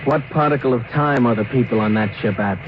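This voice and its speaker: Earnest, deferential young space officer played by a man, medium pitch, careful delivery, dull old-time radio drama sound. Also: brisk, natural voice. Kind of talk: 1950s radio drama acting. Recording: noisy, muffled old radio recording.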